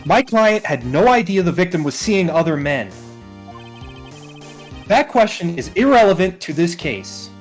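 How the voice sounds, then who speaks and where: A woman's voice: fairly low pitch, sing-song, a little slow, soft background music. A woman voicing an anxious, earnest young male defense lawyer in a courtroom video game, hesitant and worried. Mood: earnest, objecting. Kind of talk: video game role-play.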